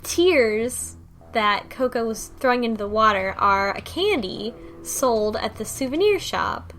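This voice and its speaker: A woman's voice: a little high, animated, a little slow, fairly bright tone, faint music behind. A woman co-host in casual conversation, speaking with wry sarcasm. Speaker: podcast co-host. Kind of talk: casual chat.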